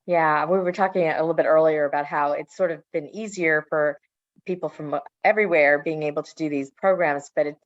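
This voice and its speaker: A woman's voice: deep voice, fairly even intonation, a little fast, no room sound, muffled recording. A woman librarian hosting a virtual author panel and reading out audience questions. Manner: reads audience questions aloud. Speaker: librarian host. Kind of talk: moderating a panel.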